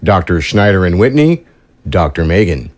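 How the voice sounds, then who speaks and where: A man playing a gruff, sarcastic lab character in an audio drama, curt and mocking. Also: deep voice, big pitch swings, moderate pace. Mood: sneering. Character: gruff, sneering, sarcastic man at a secret laboratory.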